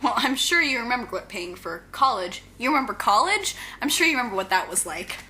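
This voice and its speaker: A woman's voice: on the high side, animated, brisk, sharp tone, slight background noise. A woman playing a brash, confident young supervillain in an audio drama, blunt and punchy. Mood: irritated, blunt.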